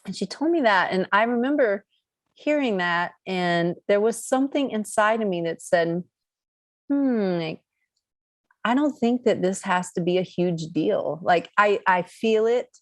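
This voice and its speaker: A woman's voice: mid-range voice, lively intonation, a little slow, no echo, clean studio sound. A woman from Greece giving a reflective spiritual talk, speaking earnestly and searching for her words. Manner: earnest, searching, with frequent restarts. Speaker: guest speaker.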